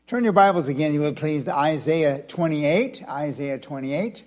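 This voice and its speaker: An older male pastor with a slightly raspy voice, talking in a folksy, chatty way before a sermon and then rising into emphatic preaching. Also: a little high, animated, normal speed, darkish tone. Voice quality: raspy from vocal strain. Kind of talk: evening church sermon.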